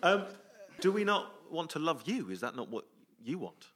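Animated, bright-voiced male comedy host. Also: a little high, normal speed. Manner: hesitant, mock-deferential. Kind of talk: comic interview before an audience. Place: theatre stage. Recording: live theatre, faint noise.

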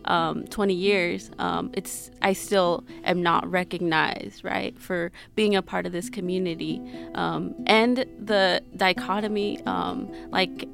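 A woman's voice: lowish voice, steady tone, slow, creaky, fairly bright tone, faint music behind. A woman in her twenties with an American accent, telling her personal story in an interview in a reflective, explanatory manner. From Mexico-born, grew up in Utah, United States. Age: 20s. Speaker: interview guest.

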